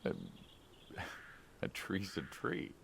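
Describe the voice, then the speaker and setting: A man playing a wry, needling mob hitman in a game, gravelly and probing, like an old friend asking questions. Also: drawn-out words, a bit bright, slight background noise. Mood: puzzled, amused. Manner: wry, probing, drawling sarcasm. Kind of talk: naturalistic video game dialogue.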